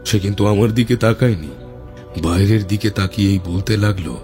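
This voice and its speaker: A man playing a tantric mystic who recounts eerie encounters in an audio horror drama, measured and suspenseful. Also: lowish voice, a little mellow, soft background music, close to an everyday voice. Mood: brooding, suspenseful. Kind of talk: first-person horror storytelling in audio drama.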